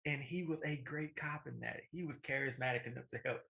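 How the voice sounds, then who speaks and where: Male podcast co-host, bright forceful voice at middle pitch, casual and emphatic storyteller. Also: fairly quick, creaky. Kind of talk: casual opinionated chat about films.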